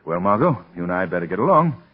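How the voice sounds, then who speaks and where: An American actor playing a suave, self-assured amateur detective in 1930s radio drama, speaking in a polished, deliberate way. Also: male voice, deep voice, big pitch swings. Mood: helpful, smooth. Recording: muffled, rough 1930s radio recording.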